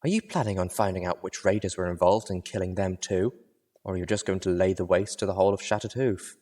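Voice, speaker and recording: A man voicing a refined, compassionate female unicorn singer who speaks softly and with reproach. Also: low-pitched, quick pace. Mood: desperate. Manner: reproachful, soft.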